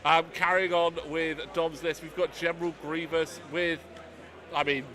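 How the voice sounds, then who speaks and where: A British man hosting live commentary of a miniatures tournament, speaking loudly and chattily with a self-deprecating touch. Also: fairly high pitch, level tone, measured pace. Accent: British English. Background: crowd chatter of a tournament hall.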